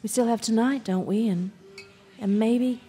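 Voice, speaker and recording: An actress playing a lovestruck, pleading young woman in a live radio drama, earnest and breathy. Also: fairly high pitch, unhurried, dark tone.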